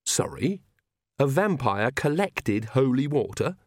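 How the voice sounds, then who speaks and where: A man's voice: medium pitch, sing-song, takes it slowly, no room sound, studio quality, voice pushed up. A man voicing an anxious, earnest young mother in an audiobook, plain-spoken and worried. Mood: puzzled.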